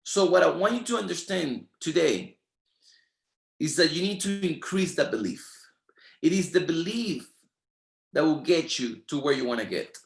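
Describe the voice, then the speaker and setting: A man's voice: a little high, expressive, moderate pace, vocal fry, pauses often, roomy sound. A man whose first language is Spanish, speaking with a Spanish accent and giving a motivational training talk in an emphatic, repetitive, preacher-like rhythm. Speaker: network marketing trainer.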